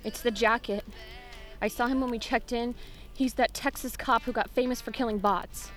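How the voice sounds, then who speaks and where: An actress plays a blunt, insistent young woman in an audio drama. Her voice is fairly high and her delivery clipped, with light music behind her. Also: steady tone, normal speed, a bit bright, lightly acted. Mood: tense, somber.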